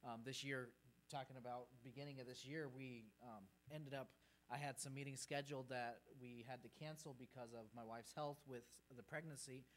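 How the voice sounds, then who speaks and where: A man's voice: medium pitch, fairly even intonation, moderate pace, a bit bright, low-quality recording. Man, thirties, missionary speaker, earnest, mid-range voice, informal church presentation, faint noise. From grew up in Peru. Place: church sanctuary, at the pulpit. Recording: faint hum and background noise.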